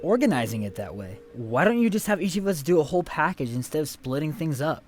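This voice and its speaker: A man's voice: fairly high pitch, big pitch swings, quick pace, noisy background. A man playing a moody young worker in a comedy audio drama, given to pompous, mock-archaic, grandiose rants. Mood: indignant, grandiose.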